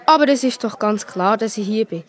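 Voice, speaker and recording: A woman's voice: medium pitch, animated, fairly quick, a faint crowd, lightly acted. A woman playing a cheerful, self-assured twelve-year-old boy in a children's audio drama, with a Swiss German accent. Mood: contented, assured. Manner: carefree, matter-of-fact.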